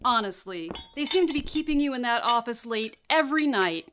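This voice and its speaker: An actress playing a nagging, lonely housewife in a radio play, with a plaintive, chiding manner. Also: female voice, fairly high pitch, expressive, fairly quick, noisy background, natural voice. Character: nagging, lonely housewife who dotes on her dog. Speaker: actress in an audio drama.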